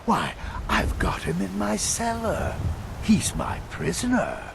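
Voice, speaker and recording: A scornful old clan father in a fantasy game. His gravelly voice swings widely in pitch and carries bitter menace. Clear ambient noise sits behind it. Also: huge pitch swings, slow, heavily acted. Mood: mocking. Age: older. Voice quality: gravelly, creaky. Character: scornful old Battle-Born patriarch, bitter and threatening. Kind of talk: fantasy video game acting.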